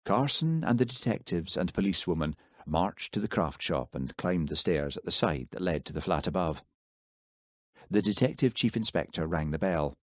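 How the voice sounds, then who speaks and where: A man narrating an audiobook in a steady, measured storytelling manner. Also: low-pitched, dry recording, clean studio sound. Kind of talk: scripted narration.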